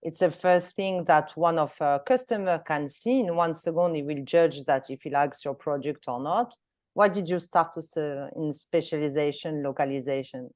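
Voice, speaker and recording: A woman's voice: sits low, moderate pace, darkish tone, dry recording, studio quality. A woman hosting a webinar, asking her guest questions and giving examples over a video call. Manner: asks questions, gives examples. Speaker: webinar host. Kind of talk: interviewing a guest.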